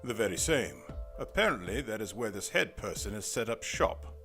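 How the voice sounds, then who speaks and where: Audio drama investigator, played by a man: formal, precise, grave, low-pitched and expressive, music underneath. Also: heavily acted. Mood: composed, polite. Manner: formal, measured, old-fashioned wording.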